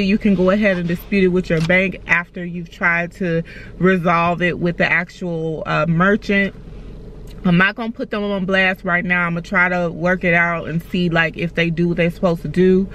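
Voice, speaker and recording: A woman's voice: on the low side, a bit bright, a little hiss or hum. A young woman vlogging to her phone from inside a car, chatty and advice-giving, calling her viewers y'all. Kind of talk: casual vlog talk to camera. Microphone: phone camera at arm's length.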